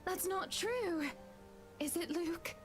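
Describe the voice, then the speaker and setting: An actress playing a proud, wounded young wife in a visual novel, haughty and indignant. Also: female voice, very high-pitched, slow. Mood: hurt, affronted. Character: proud, wounded, wealthy young wife standing her ground. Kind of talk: dramatic visual novel voice acting. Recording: game music behind the voice. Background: game music.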